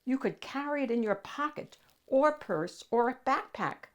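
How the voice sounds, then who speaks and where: A woman reading a picture book aloud as a storytime host, addressing young listeners with dramatic pauses and drawn-out key words. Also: high-pitched, lively intonation.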